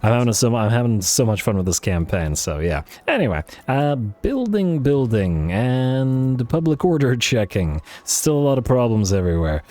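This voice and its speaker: A man thinking aloud over a strategy game, a little hoarse from losing his voice, with frequent fillers and restarts. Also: mid-range voice, big pitch swings, normal speed, few pauses, dark tone. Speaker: let's play host. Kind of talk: casual gameplay commentary.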